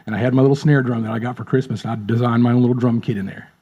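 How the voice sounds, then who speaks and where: Man in his fifties with a mellow, husky voice. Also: mid-range voice, fairly even intonation, rapid-fire, dull audio. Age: 50s. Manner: friendly, rambling, repeats words. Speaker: drummer, guest. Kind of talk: casual interview chat.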